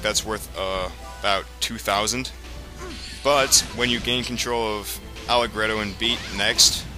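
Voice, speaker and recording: A man giving casual, rambling, explanatory commentary as host of a video game playthrough. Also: fairly low pitch. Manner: rambling, explanatory, frequent profanity. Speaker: let's play host. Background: video game music and sound.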